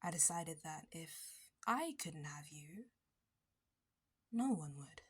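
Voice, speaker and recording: A woman playing an obsessive, possessive barista kidnapper in an ASMR roleplay, intimate and breathy, slipping between whispers and bitter intensity. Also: sits low, animated, slow, full character voice. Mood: menacing, soft. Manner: intimate, breathy dropping into whispers, nervous laughs and sudden bitter flares.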